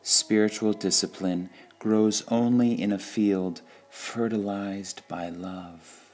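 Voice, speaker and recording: A man reading devotional sayings from a script in a measured, deliberate way, pausing between short phrases. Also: fairly low pitch, steady tone, a little mellow. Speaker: reader of spiritual quotations.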